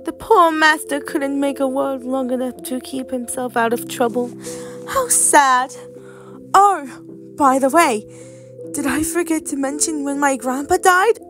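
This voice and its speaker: A woman's voice: high-pitched, unhurried, music in the background. A woman voicing a smug cartoon girl with a coy, mockingly sympathetic manner. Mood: smug, mock-sorry. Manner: coy, mock-sorry. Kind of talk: amateur character voice lines.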